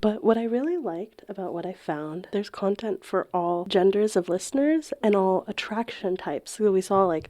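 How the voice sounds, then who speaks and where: A woman with a soft, mellow tone co-hosts a casual comedy podcast. She talks candidly and slangily, swears freely and sounds amused by what she shares. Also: mid-range voice. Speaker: podcast co-host.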